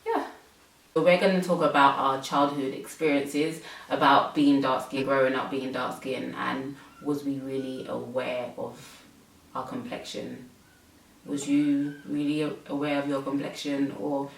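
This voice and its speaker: A woman's voice: rumbling low, level tone, measured pace, gravelly, distant mic, a little hiss or hum. A young woman from England, hosting a casual chat and talking with many fillers. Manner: chatty, many fillers, laughs often. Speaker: host. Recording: roomy, from off mic.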